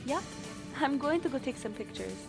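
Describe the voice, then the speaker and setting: A woman's voice: high-pitched, animated, normal speed, creaky, music in the background. An actress playing a young, chatty, earnest female intelligence analyst on a jungle mission in a radio drama. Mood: eager, explaining. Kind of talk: dubbed video game radio drama acting.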